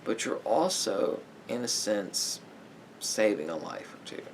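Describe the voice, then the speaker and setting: A man playing a sympathetic therapist in an ASMR roleplay, giving gentle advice in a halting, repetitive, hedging way. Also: on the low side, sing-song, slight background noise, rough recording. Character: calm, sympathetic male therapist counselling a client.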